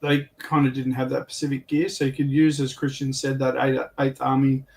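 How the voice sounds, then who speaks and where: A man's voice: mid-range voice, completely flat delivery, fairly quick, a little mellow. A man chatting casually as a panelist. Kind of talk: casual hobby chat. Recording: distant from the microphone.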